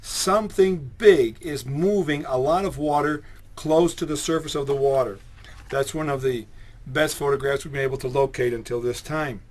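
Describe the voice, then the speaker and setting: A man's voice: medium pitch, moderate pace, natural voice. A man voicing an earnest male believer who explains his claims about lake monsters.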